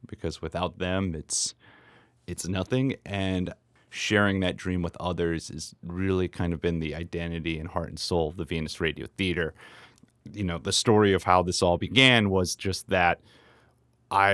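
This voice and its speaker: Male host, low voice, close dry sound. Earnest personal address, thoughtful and a little halting. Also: a bit bright, smooth, dry recording. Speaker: theater artistic director and podcast host. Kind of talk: personal message to listeners.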